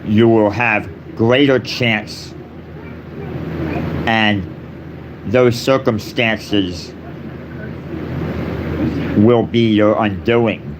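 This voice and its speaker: A man delivering a solemn, improvised personal address in short, repetitive phrases with long pauses between them. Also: fairly low pitch, fairly even intonation, noisy background. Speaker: speaker giving a personal address.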